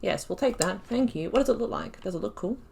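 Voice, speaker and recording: A woman giving casual commentary while playing a game, thinking aloud and breaking into excited exclamations. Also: mid-range voice, fast talker, gravelly, slight background noise. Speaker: let's play host.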